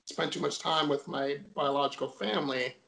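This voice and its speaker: A man's voice: on the high side, fairly even intonation, normal speed, vocal fry, pushed and bright. A young man from Jamaica, a guest in a casual interview, telling his story chattily with many fillers.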